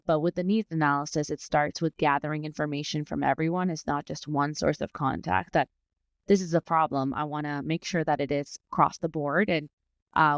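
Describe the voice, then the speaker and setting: A woman's voice: low voice, dry recording, clean studio sound. A woman from Iran who partly grew up in Dubai, answering conversationally as an interview guest about her professional work. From born in Iran, raised partly in Dubai. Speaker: interview guest, learning and development manager.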